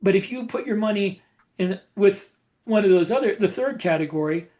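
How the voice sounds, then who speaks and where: A man's voice: high-pitched, normal speed, a little mellow. A man co-hosting a podcast, explaining things casually in a blunt, opinionated way. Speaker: podcast co-host.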